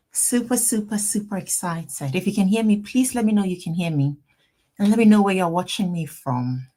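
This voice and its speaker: A woman from Ghana with a Ghanaian accent, an attorney hosting a live Q&A stream from her office, greeting viewers warmly and repeating herself as they join. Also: fairly low pitch. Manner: upbeat, repetitive, greeting viewers by name and place. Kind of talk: casual livestream chat.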